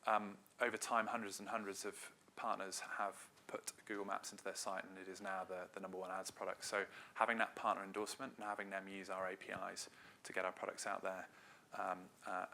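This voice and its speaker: Low, flat-voiced man giving a talk. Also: fast, creaky, slight background noise. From Wales. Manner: conversational, loose delivery with frequent hedges. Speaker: marketing director giving a talk. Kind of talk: conference presentation.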